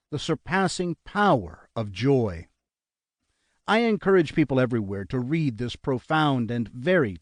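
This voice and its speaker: A man narrating an audiobook in a measured, earnest reading style, close to a studio mic. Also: mid-range voice, darkish tone, dry recording. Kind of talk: scripted narration.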